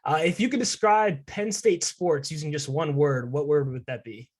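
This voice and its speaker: A man's voice: fairly high pitch, moderate pace. A young man interviewing a guest as a student podcast host, in a casual conversational style. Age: young adult.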